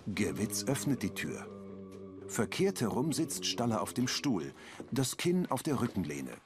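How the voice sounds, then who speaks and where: A man with a fairly low voice reads stage directions for a crime comedy in a calm, even way. Music plays clearly underneath him. He keeps a steady, matter-of-fact tone. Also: a little slow. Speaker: audio description narrator. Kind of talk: scripted audio description.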